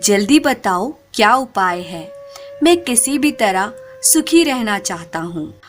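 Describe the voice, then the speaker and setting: A woman's voice: medium pitch, animated, slow pace, faint music behind. A woman as an imperious, hot-tempered storybook king. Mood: impatient, eager. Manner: scolding, imperious, impatient. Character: discontented, hot-tempered male king of a folk tale.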